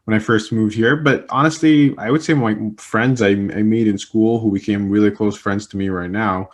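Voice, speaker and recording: A man's voice: on the low side, fairly even intonation, normal speed. A young man from Egypt whose first language is Arabic, answering interview questions casually with lots of fillers and restarts. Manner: rambling, many fillers and restarts. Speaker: interviewee, communication specialist.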